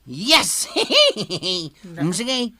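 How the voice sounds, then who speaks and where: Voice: medium pitch, lively intonation, very slow, noisy background. An actor with a Filipino Ilocano accent playing a cheeky, bargaining young nephew in a radio drama. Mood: teasing.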